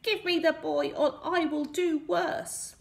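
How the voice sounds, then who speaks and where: A woman voicing a sly, menacing little man in a children's storybook, with a high, squeaky, demanding voice. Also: steady tone, slow pace, vocal fry, exaggerated voice. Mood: threatening. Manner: cackling, demanding. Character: strange little old-tale villain man, menacing, sly.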